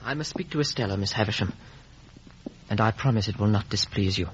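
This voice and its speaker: An actor playing an earnest, lovelorn young gentleman in a 1950s radio drama. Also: fairly low pitch, fairly quick, a little hiss or hum, close to an everyday voice. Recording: muffled, dull vintage radio recording.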